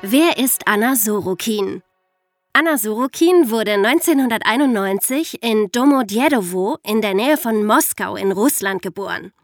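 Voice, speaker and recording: A woman's voice: medium pitch, lively intonation, normal speed, dry recording. Woman, true-crime podcast co-host, playful storyteller, dry studio sound, faint music underneath.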